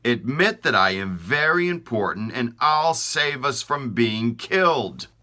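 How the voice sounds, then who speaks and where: A man's voice: medium pitch, animated, bright, forceful tone, pitched above the performer's own voice. Man voicing a smug talking tongue. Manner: slow, emphatic, self-important. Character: boastful talking tongue, sly schemer.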